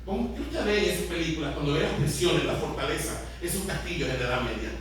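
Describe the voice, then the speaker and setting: A very echoey, distant recording with faint noise carries a male pastor with a Puerto Rican accent. His voice sits high for a man. He teaches interactively, putting questions to his congregation as he explains. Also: expressive, fast. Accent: Puerto Rican Spanish. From Puerto Rico. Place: large echoey room, church service.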